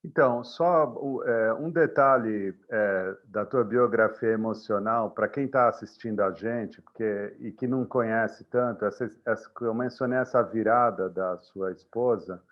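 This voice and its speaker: A man's voice: fairly low pitch, unhurried, hardly any pauses, dull audio. A man with a Brazilian Portuguese accent hosting an interview, asking rambling questions full of restarts. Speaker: interview host. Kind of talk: casual interview talk.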